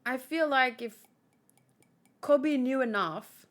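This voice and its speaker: A woman's voice: sits high, takes it slowly. A woman host chatting casually and informally about manga, rambling a little as she thinks aloud.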